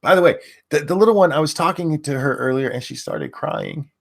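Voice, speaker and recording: A man's voice: fairly high pitch, fairly quick, vocal fry. An older man hosting a livestream, mocking what he reads aloud in crude, sarcastic, swearing commentary. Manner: reads chat and an article aloud. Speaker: livestream host.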